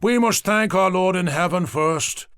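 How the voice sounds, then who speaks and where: A man's voice: high voice, unhurried, a bit bright, clear, resonant tone, no room sound, studio quality, pitched above the performer's own voice. A man voicing a manic, zealous priest who preaches fervently and slips into rapture. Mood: sermonizing. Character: manic, zealous middle-aged male priest.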